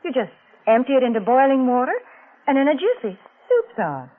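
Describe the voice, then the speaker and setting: A woman plays chatty radio spokeswoman in a vintage 1940s style, folksy and homey. Her slightly husky voice moves animatedly, and the old recording sounds phone-like. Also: high voice, sing-song, a little fast. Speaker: sponsor spokeswoman and co-host. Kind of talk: 1940s radio advertising pitch. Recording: tinny, band-limited old radio recording.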